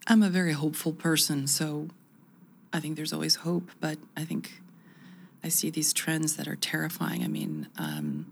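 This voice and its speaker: Gravelly-voiced woman in her fifties. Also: low-pitched, normal speed, slight background noise. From United States. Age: 50s. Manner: reflective, animated, many restarts. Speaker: interview guest. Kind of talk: conversational interview.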